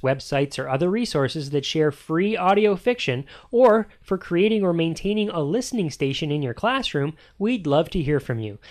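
A man hosting a podcast, reading announcements and sign-off notes while addressing listeners in a friendly, direct way. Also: on the high side. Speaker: podcast host.

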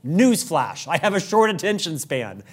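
A middle-aged man hosting a leadership show, talking fast and upbeat in a prepared monologue, with an occasional stutter on a word. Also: sits high, very animated, husky. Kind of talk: scripted monologue to camera.

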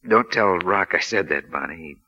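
A man's voice: low-pitched, sharp tone, heavily acted. A man playing a modest, laconic young American college football star, offhand and understated, in 1940s radio drama style. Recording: dull lo-fi 1940 radio broadcast.

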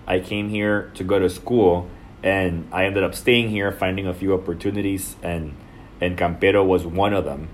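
Low-pitched guy from el salvador, measured and businesslike, faint noise in the background. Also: fairly even intonation. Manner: measured, businesslike, with some restarts. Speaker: marketing director. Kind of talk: interview answers.

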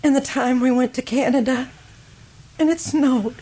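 A woman acting a grieving, fragile widow in an old-time radio drama, speaking in a halting, wistful and sorrowful way. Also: high voice, fairly even intonation, warm tone, breathy edge, low-quality recording. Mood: wistful grief.

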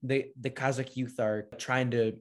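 A man hosting an interview, asking friendly, somewhat rambling questions and warmly affirming the answers. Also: mid-range voice, little pitch movement, a little slow. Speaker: interviewer host.

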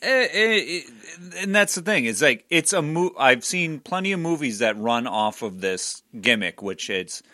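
A man co-hosting a casual movie review podcast, going through his notes in an unhurried way. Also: a little high, very animated, pushed and bright, no room sound, studio quality. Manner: unhurried, reads from his notes, short agreements. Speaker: podcast co-host.

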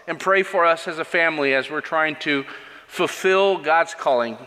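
A man's voice: a little high, normal speed, pushed and bright. A man speaking as a visiting missionary at a church service, earnest and plainspoken, with frequent restarts. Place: church sanctuary. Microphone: microphone over a church sound system. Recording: long church hall reverb, faint music.

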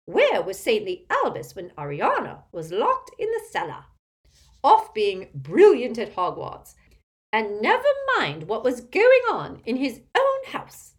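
Screechy, high-pitched old witch aunt. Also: wildly swooping pitch, measured pace. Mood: shrill, accusing. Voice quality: cackling, screechy. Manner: domineering, gossipy, emphatic. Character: haughty, gleefully spiteful, tipsy old witch aunt.

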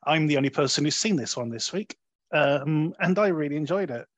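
A man hosting a conversational film review, talking in a halting way and often rephrasing as he goes. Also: on the high side, expressive, no echo. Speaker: film review podcast host.

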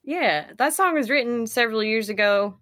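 A woman's voice: on the high side, lively intonation, normal speed, a bit bright, no room sound, studio quality. A young adult American woman chatting casually as an interview guest, a singer-songwriter. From Ocala, Florida, United States.

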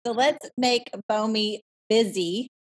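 Upbeat husky woman hosting a podcast. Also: fairly high pitch, takes it slowly. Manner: upbeat, encouraging. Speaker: podcast host. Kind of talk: casual interview chat. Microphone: video call.

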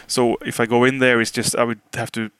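A man's voice: mid-range voice, level tone, a little fast, fairly bright tone, smooth, dry recording. A Norwegian man hosting a casual podcast chat in English, speaking with a Norwegian accent and many hesitant fillers. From Norway. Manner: hesitant, filler-heavy, laughs easily. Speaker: podcast host. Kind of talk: casual chat over a call.

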